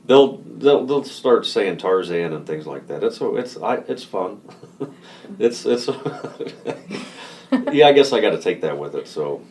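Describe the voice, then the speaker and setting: A man talking casually in an interview as a lawyer, relaxed, laughing easily and restarting his sentences. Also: on the low side, takes it slowly, slight background noise. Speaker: lawyer, firm co-founder.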